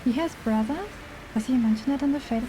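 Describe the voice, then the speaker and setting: High-voiced German-accented woman streaming. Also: a little fast, mellow, slight background noise. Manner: giggly, enthusiastic, informal. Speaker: live game streamer. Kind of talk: casual chatty livestream commentary. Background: music.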